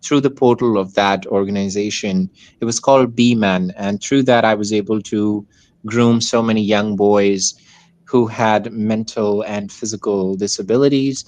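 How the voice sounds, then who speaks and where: Teenage Pakistani man, interview guest, lowish pitch, dry sound, conversational. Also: steady tone, measured pace, darkish tone, no echo. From Hyderabad, Pakistan. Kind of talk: casual interview answers.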